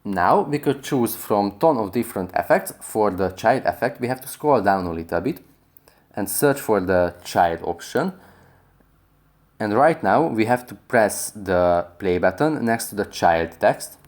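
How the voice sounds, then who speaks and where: A man's voice: lowish voice, lively intonation, unhurried, a little mellow. A man hosting a tutorial, narrating step-by-step instructions for viewers. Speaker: tutorial host.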